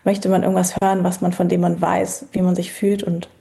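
A woman in her thirties from Berlin, a guest talking in a relaxed, reflective way with many pauses and fillers. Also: lowish voice, vocal fry. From Berlin, Germany. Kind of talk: casual interview chat.

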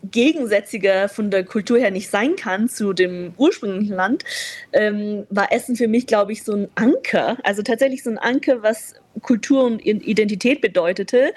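A woman's voice: mid-range voice, a little mellow. Reflective woman guest, laughs easily. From China. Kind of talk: candid podcast conversation.

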